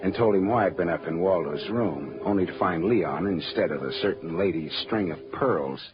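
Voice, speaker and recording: A hardboiled American private detective played by a man with a low, wry delivery full of dry wisecracks. It is classic radio drama acting, on a muffled old recording with faint noise. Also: moderate pace, lightly acted. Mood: narrating. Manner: sardonic, cool, dry.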